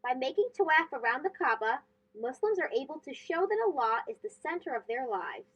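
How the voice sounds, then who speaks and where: A woman reading a children's storybook aloud as host, expressive and phrased for young listeners. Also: high voice, brisk.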